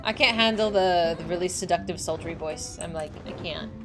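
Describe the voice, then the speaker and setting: A young woman streaming a game, chatting excitedly with a slightly squeaky voice, over faint game music. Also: moderate pace. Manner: excitable, chatty, laughing often. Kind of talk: casual chat while playing a game.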